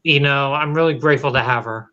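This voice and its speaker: A man in his late thirties, a guest in a casual interview, speaking earnestly and haltingly with many fillers. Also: medium pitch, pushed and bright. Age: late 30s. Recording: dull lo-fi audio.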